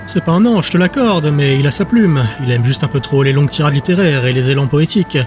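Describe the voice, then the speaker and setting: A man's voice: fairly high pitch, lively intonation, quick pace, music in the background, natural voice. A man voicing a teasing, easygoing, talkative colleague in an audio drama.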